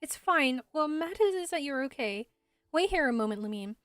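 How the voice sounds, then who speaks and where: A woman voicing a calm, responsible young man from a game, a steady and matter-of-fact big brother. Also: high voice, moderate pace, dry recording, faint music behind. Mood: calm, concerned. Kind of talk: fan voice-over of game dialogue.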